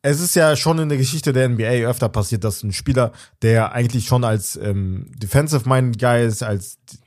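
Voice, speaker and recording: Male podcast co-host, mid-pitched voice, relaxed and slangy. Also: brisk. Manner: casual slang, trails off, thinks aloud. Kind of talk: casual chat.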